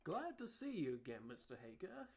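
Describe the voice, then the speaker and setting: A man playing a politely clinical, persuasive pony doctor in a scripted audio drama, with a creaky voice. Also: fairly high pitch, big pitch swings, moderate pace, darkish tone.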